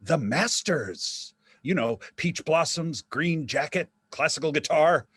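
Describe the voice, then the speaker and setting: A man's voice: a little high, lively intonation, slow, a bit bright, husky, no room sound, clean studio sound, exaggerated voice. An actor in a staged play reading, playing a wry, theatrical dead father obsessed with golf. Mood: jaunty, sardonic.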